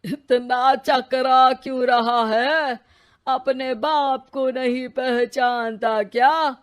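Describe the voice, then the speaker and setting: A stern old father from a cartoon fable, acted by a woman. He scolds in long, drawn-out phrases, loud and fairly high. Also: fairly even intonation. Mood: scolding.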